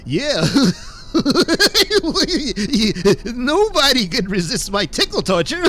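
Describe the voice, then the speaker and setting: A high-pitched, cackling cartoon henchman. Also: huge pitch swings, drawn-out words, bright, forceful tone, heavily acted. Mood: giddy glee. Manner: cackling, giddy. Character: giggling, sadistic male tickle-torturer henchman.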